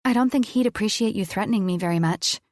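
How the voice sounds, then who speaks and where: A woman voicing a sarcastic young heroine who talks quickly with wry defiance. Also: medium pitch, a little mellow, dry recording, clean studio sound, lightly acted. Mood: defiant.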